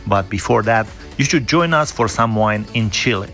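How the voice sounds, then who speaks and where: A man's voice: lowish voice, animated, normal speed, fairly bright tone, faint music behind. A man from Romania hosting a travel vlog, moving between scripted narration and talk to the viewers. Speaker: travel vlogger and host.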